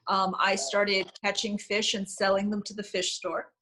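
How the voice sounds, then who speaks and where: A woman's voice: medium pitch, flat delivery, normal speed, pushed and bright, rough recording. A woman guest in an interview, answering candidly and with self-deprecating humor, with frequent ums. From United States.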